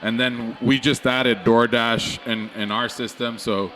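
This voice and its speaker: A man's voice: medium pitch, little pitch movement, a little slow, fairly bright tone, a little hiss or hum. A man being interviewed as a restaurant owner, chatting casually.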